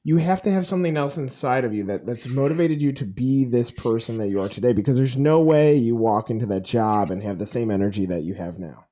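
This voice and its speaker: A man with a cold hosting an interview podcast, talking casually and informally with halting, repeated phrases. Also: mid-range voice, dark tone. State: congested with a cold.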